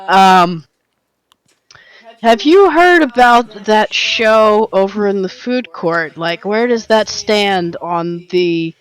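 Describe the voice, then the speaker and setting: A woman's voice: medium pitch, smooth, poor audio. A woman who is losing her voice, playing a polite adventurer in a tabletop game.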